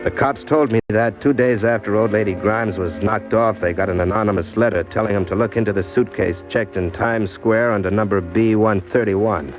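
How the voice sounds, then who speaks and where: A man's voice: lowish voice, moderate pace, few pauses, lightly acted. An American actor playing a condemned small-time crook in a 1940s radio crime drama, telling his story in hard-boiled slang with bitter, wry resignation. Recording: dull old radio recording, loud music behind.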